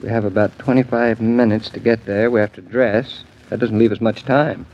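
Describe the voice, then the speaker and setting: An actor playing an affectionate, gently teasing husband in a 1940s radio drama, with a wry, patient manner. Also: male voice, fairly low pitch, fairly even intonation, normal speed, close to an everyday voice. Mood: eager, encouraging. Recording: dull, lo-fi 1940s radio broadcast.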